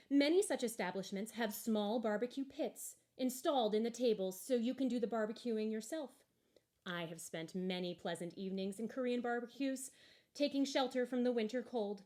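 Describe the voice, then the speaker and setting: A woman narrating a story aloud from a book in an expressive, deliberate and wry way. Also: fairly high pitch. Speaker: storybook reader.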